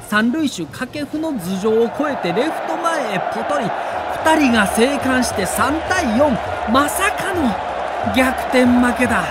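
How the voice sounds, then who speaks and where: A man with a somewhat high voice narrates an audio documentary as a sports reporter. His delivery is scripted, theatrical and emotive. A little noise sits behind the voice.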